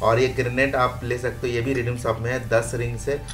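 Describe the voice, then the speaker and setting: A man gives a casual gaming walkthrough at a middling pitch. He explains things step by step, with music playing faintly behind his voice. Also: little pitch movement, brisk. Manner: instructional, step by step. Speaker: gaming video host. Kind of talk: casual game event walkthrough.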